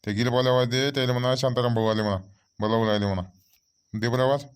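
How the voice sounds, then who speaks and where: A man's voice: medium pitch, little pitch movement, rapid-fire, smooth, natural voice. A man playing a harried, fretful village farmer in a comic cartoon, muttering complaints in a Vidarbha Marathi accent. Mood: grumbling.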